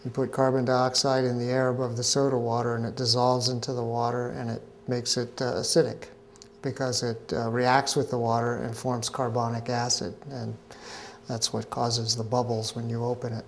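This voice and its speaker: A middle-aged man, a scientist being interviewed at a conference pavilion, explaining in a measured way with frequent hesitations. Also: mid-range voice, monotone, slight background noise. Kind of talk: interview answers.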